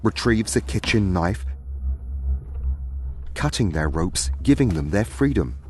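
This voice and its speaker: A man's voice: lowish voice, sing-song, unhurried, a little hiss or hum. A man narrating a scripted fantasy story as its storyteller.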